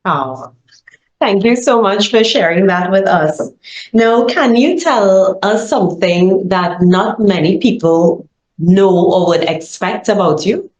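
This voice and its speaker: A woman's voice: medium pitch, animated, takes it slowly, a little mellow, reverberant, rough recording. A woman interviewer asking questions in a polite, upbeat way. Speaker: interviewer, communications manager.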